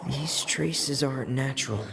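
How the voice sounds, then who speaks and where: An actor voicing a quiet, cautious young teenage boy in a video game, sighing and murmuring earnestly as he thinks aloud. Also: mid-range voice, a little slow, vocal fry, background noise. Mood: focused, cautious. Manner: sighing, murmured musing to himself.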